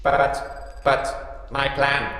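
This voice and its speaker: A man's voice: mid-range voice, little pitch movement, drawn-out words, sharp tone, reverberant, in a large hall. A man playing a grandiose, villainous robot princess who makes cold, portentous pronouncements in a comic audio drama. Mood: dejected.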